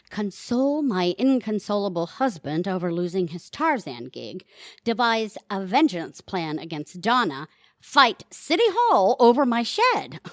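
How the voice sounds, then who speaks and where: A woman playing a scheming, sharp-witted middle-aged wife and mother in an audio comedy, with a wry, wisecracking manner. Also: mid-range voice, dry recording, studio quality. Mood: wry, plotting. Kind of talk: audio theatre comedy acting.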